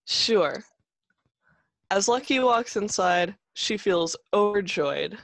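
A woman's voice: medium pitch, animated, slow, gravelly, no room sound. A woman reading scripted stage directions as the narrator of a children's play.

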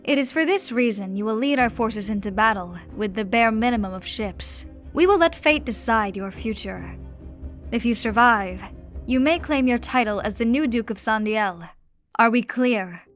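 A woman voicing a ruthless male vampire emperor in an audiobook, speaking in a loud, commanding way. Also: a little high, lively intonation, moderate pace, soft background music, natural voice.

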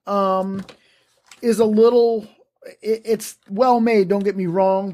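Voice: medium pitch, warm tone. A homesteading podcast host giving a casual first-impressions review of gardening gear, thoughtful and plain-spoken, weighing pros and cons as they talk.

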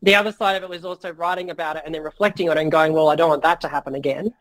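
A man guesting on a business interview, talking frankly and casually with many fillers and the odd swear word, his voice going a little hoarse. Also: fairly high pitch, fairly even intonation, very fast, fairly bright tone, rough recording. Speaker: guest entrepreneur.

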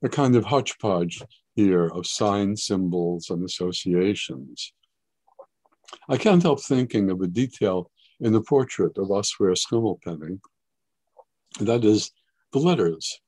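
Older man, low dark voice, gallery talk. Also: lively intonation, husky, no echo. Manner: measured, explanatory, occasional hesitations. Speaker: art historian guide. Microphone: webcam on video call.

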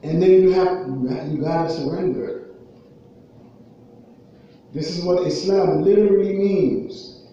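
American guy giving a khutbah, high voice, pauses and repeats for emphasis, really echoey and muffled from far away. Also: steady tone, dark tone. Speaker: preacher giving a Friday khutbah. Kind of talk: sermon. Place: indoor prayer hall, at a raised pulpit.

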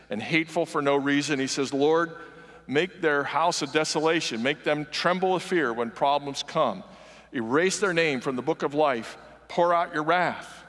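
A man's voice: fairly high pitch, a bit bright. A man in his sixties giving an earnest, conversational sermon in a large church sanctuary, moving around the stage as he preaches. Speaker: guest preacher. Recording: long reverb of a large church hall.

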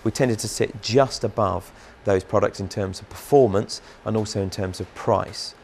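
A man in his thirties, a brand manager interviewed on a large factory floor with a lapel mic, explaining in a measured way. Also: on the low side, gravelly, a little mellow, a little hiss or hum.

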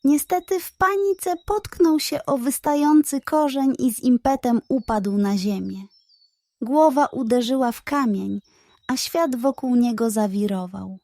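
A woman narrating a scripted bedtime story for children in a measured, expressive way. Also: sits high, dry recording.

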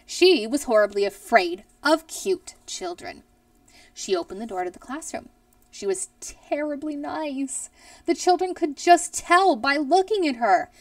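Woman, thirties, expressive children's read-aloud, unhurried pace, very sing-song range, roomy sound. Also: fairly high pitch, recorded from a distance. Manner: deliberate, animated storytelling. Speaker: teacher reading aloud to pupils. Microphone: headset boom mic.

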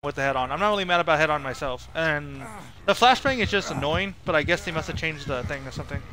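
A man's voice: on the high side, animated, fast talker, sharp tone, slight background noise, rough recording. A man giving casual live gameplay commentary as a streamer, rambling and thinking aloud in a self-critical way. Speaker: game streamer.

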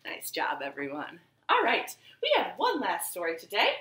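Animated woman storyteller, bright and lively. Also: fairly low pitch, normal speed. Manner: animated, sing-song delivery for young children. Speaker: children's library storyteller. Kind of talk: children's storytime, rhymes and read-aloud story. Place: living room, indoors. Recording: roomy home webcam sound.

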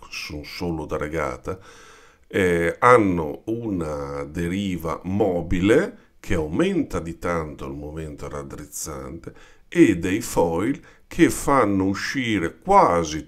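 Deep, slow-talking Italian man explaining. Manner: unhurried and explanatory, addresses viewers with "vedete". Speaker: yacht designer explaining boat history. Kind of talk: informal explainer voice-over over pictures.

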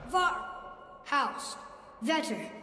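An earnest young boy in a video game, light and high. He speaks slowly in short, careful words. The sound is reverberant, with noise behind it. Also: big pitch swings, sharp tone, full character voice. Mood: focused. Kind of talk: cinematic video game acting. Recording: reverberant chamber with clear background noise.

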